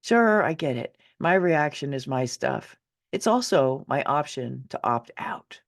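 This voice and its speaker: A middle-aged woman narrates her own story as if talking straight to the listener. Her voice has a gravelly creak and swings widely in pitch. She is candid and wry, leaning into key words for dramatic effect. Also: lively intonation, a little slow. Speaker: author reading own memoir. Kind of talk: conversational audiobook narration.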